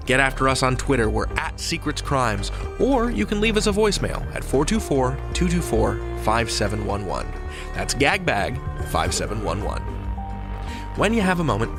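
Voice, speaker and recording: A man hosting a podcast, reading the outro and credits in a measured, announcer-like way. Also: mid-range voice. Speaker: podcast host. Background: music.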